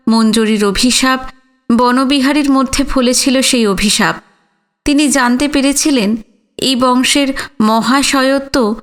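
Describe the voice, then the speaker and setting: A woman narrating a literary audio story in a measured, solemn manner with deliberate phrasing. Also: a little high, fairly bright tone.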